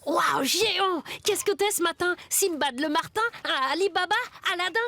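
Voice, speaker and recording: A woman voicing an excitable, slangy young schoolboy sidekick in a French cartoon, wide-eyed and exclamatory. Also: extremely high pitch, normal speed. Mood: excited, amazed. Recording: music behind the voice. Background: music.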